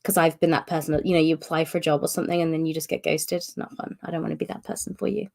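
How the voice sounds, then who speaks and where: A woman hosts a casual live-stream update, talking in a loose, rambling way with plenty of fillers and restarts. Her voice is gravelly and a little strained from a recent cough. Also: sits low, fairly even intonation, rapid-fire, darkish tone. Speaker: host of publisher's live stream.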